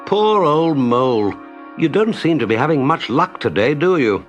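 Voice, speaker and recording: A man plays a good-natured water rat in a children's storybook, practical and excitable. The voice is lively, lifted and emphatic, over soft music. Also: fairly high pitch, muffled recording, voice pushed up. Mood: sympathetic, puzzled. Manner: brisk, emphatic, well-mannered.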